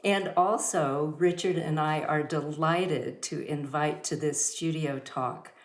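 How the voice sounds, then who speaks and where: Host: woman, very low voice, slow and halting with fillers, echoey room. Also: steady tone. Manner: warm introductions with many fillers. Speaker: host of a yoga studio talk. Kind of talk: hosting an online talk.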